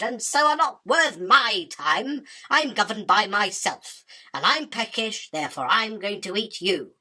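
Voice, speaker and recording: Spider queen for radio play, female actor: crisp-edged bright tone, theatrical, self-important and scornful, always hungry. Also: a little high, full character voice. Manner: imperious, dismissive, self-justifying. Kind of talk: theatrical radio drama acting.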